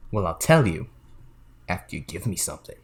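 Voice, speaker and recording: Swaggering card dealer played by a man, animated delivery. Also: low voice, brisk. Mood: sly. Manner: swaggering, demanding, theatrical. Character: sly, touchy back-room card dealer and chef. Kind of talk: comedic audio play acting.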